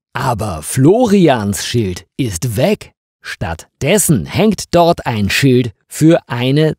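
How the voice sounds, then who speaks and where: A man reads a scripted story aimed at language learners. His delivery is slow and deliberate, with highly varied intonation across short sentences. The voice has a somewhat husky edge. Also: darkish tone, no echo, clean studio sound. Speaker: narrator of stories for language learners.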